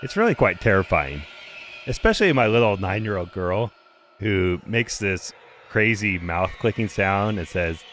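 A man in his thirties or forties hosting a podcast, slightly hoarse and raspy, talking briskly and conversationally with a light dry humor. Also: on the low side, sing-song, a bit bright, a little hiss or hum. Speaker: podcast host. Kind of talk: scripted podcast explainer.

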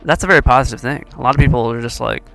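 A man hosts a casual discussion show, asking probing questions and restarting his sentences as he thinks them through. His voice is gravelly and bright. Soft music plays faintly underneath. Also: medium pitch, rapid-fire. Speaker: show host and roundtable moderator.

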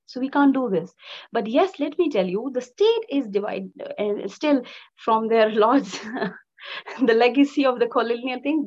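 Emphatic woman, muffled sound. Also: fairly high pitch. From Pakistan. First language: Pashto. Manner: emphatic, with frequent restarts and filler sounds. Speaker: guest, journalist and activist. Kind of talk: passionate interview answers.

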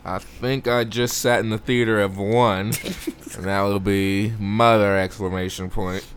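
Low-voiced podcast guy cracking jokes, voice kinda cracking from yelling. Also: little pitch movement, unhurried, slight background noise. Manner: joking, playful. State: voice cracking, going hoarse. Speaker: podcast co-host. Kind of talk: casual movie chat.

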